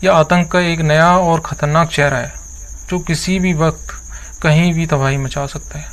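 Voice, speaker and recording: A man's voice: fairly high pitch, fairly even intonation, unhurried, a bit bright. A man presenting scripted news as a narrator, with a formal, earnest delivery.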